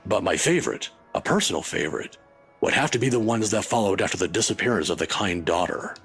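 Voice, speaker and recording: A man voicing an older male professor who speaks in a measured, lecturing, wry way, with a husky voice. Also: on the low side, expressive, a bit bright, soft background music. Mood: musing.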